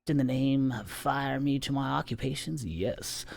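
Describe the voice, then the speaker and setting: A theatrical, preaching lightning-rod salesman, put on by a man reading aloud. He talks about storms as if he owns them, pitched up from the reader's own gravelly voice. The delivery is fervent and showy. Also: measured pace, a little mellow. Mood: boastful.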